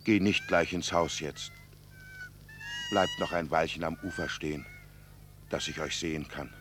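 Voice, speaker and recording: A gentle, resigned husband in a radio drama, with a very deep voice. He speaks gravely and sparely, over faint rumbling noise. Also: huge pitch swings, measured pace, heavily acted. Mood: tender, resigned. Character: Giovanni, quiet melancholy husband, gentle and resigned. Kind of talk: German radio play acting.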